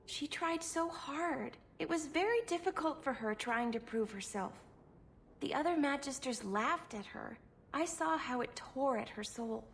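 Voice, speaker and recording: An actress playing a timid young elven servant in a video game, meek, deferential and eager to please. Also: female voice, sits high, lively intonation, unhurried, slight background noise. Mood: sad, meek.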